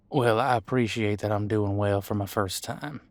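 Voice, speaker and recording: Demon detective male actor, audio drama: deeper voice, laid-back, reassuring partner, folksy phrasing, very dry sound. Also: fairly bright tone, no echo. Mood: friendly, reassuring. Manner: folksy, casual, reassuring, hesitant pauses. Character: easygoing male demon homicide detective mentoring new partner. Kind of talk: audio roleplay drama, conversational monologue.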